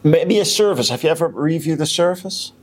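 A young man co-hosting a casual chat podcast, halting and full of fillers and restarts. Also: a little high, animated, a little hiss or hum. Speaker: podcast co-host.

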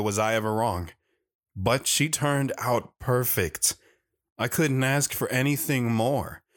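A man's voice: lowish voice, natural voice. A man playing a devoted, reflective wandering father who tells his own story in an audio drama, earnest and sentimental. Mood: reflective, humble. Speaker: narrator.

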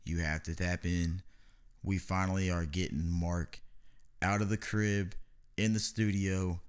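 A man from hosting a casual, profane podcast chat in a laid-back, drawn-out way. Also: deep voice, little pitch movement, clean tone. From Louisville, Kentucky. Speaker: podcast host.

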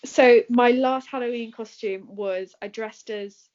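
A woman's voice: fairly high pitch, unhurried, a little mellow. A woman with an English accent answering questions in a casual chat, talking with plenty of fillers and laughing easily. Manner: chatty, laughs often, many fillers. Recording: dull lo-fi recording.

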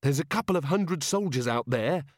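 A man voicing a curt, practical werewolf woman with a brisk, snappy manner. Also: a little high, big pitch swings, no echo, studio quality, pitched above the performer's own voice. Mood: urgent.

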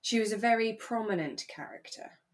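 A woman co-hosting a podcast in relaxed, chatty conversation about a radio drama. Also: fairly high pitch, normal speed, a crowd in the background. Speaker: podcast co-host.

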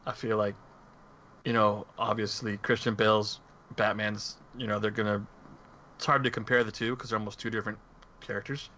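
Male podcast panelist, casual and meandering, lowish bright voice, slightly dull recording with faint noise. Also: little pitch movement, moderate pace. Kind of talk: casual movie discussion.

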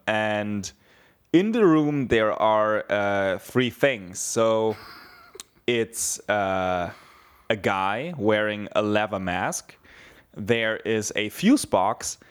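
A young man from Bavaria, chatting casually, rambling with lots of swearing and fillers. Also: mid-range voice. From Germany (southeast Bavaria). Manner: rambling, swears a lot, many fillers and restarts. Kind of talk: casual chat.

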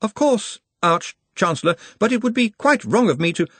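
A man's voice: sits high, sing-song, normal speed, a bit bright, breathy edge, no echo, voice pushed up, full character voice. A man reading an audiobook, voicing an anxious, precise young male wizard who hedges before bad news. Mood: hesitant. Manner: hesitant and precise, with fussy hedging.

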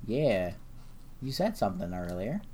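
A man's voice: lowish voice, very animated, unhurried, dark tone, slight background noise. A young man chatting casually with friends. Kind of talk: casual chat among friends.

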